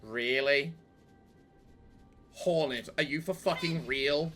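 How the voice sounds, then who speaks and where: A man narrates his own gameplay in a high, sharply bright voice that swings widely in pitch and is strained from yelling. He talks at an unhurried pace, swearing and ranting at the game. Faint game music plays behind him. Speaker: let's play host. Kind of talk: gaming commentary.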